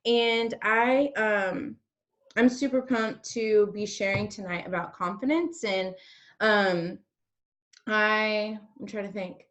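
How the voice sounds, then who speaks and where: A woman's voice: mid-range voice, takes it slowly, a bit bright, muffled recording. A woman in her early thirties giving an informal motivational talk to an online group as a co-hosting team leader, chatty and peppering her sentences with "like". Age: early 30s. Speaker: direct-sales team leader co-hosting a training.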